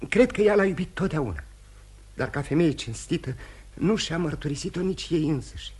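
An actor playing a remorseful, courteous, dissolute gentleman husband in theatrical radio drama style, candid and halting with repetitions. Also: a little high, lively intonation, husky. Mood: earnest, candid. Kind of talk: mid-century Romanian radio theatre acting.

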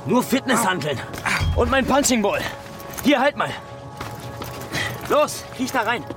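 A man's voice: very high-pitched, sing-song, unhurried, loud music behind the voice, heavily acted. An actor playing an irritable, impatient young man in a radio drama, blunt and slangy. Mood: tense, hurried.

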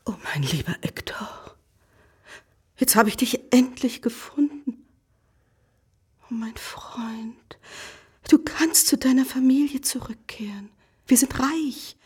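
An actress playing a devoted, long-suffering wife in a radio drama, pleading in a hushed, emotional way. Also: female voice, fairly high pitch, expressive, slow pace, long pauses, slightly rough, in a whisper, exaggerated voice. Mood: tearful relief. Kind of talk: German radio drama acting.

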